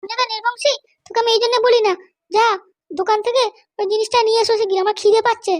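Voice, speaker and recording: Guy doing a super high chipmunky bossy bengali wife from west bengal, scolding fast, cartoon. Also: steady tone, no room sound, clean studio sound. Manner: scolding, threatening. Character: bossy, short-tempered middle-aged wife and mother-in-law. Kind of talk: comic cartoon dialogue.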